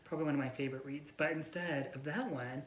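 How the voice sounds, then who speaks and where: A man's voice: a little high, fast. A man co-hosting a casual book chat with a friend, speaking informally and peppering sentences with "like". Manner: informal, filler-heavy with frequent "like". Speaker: book vlogger and co-host. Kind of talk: casual chat between friends about books. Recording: echoey room with faint noise.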